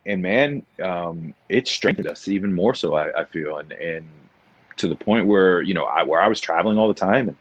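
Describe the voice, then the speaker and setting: Dull lo-fi sound, guy in his 40s, low voice, just rambling casually like on a podcast. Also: expressive, normal speed, no echo. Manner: informal, peppered with "man" and "you know". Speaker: podcast guest. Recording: dull lo-fi audio.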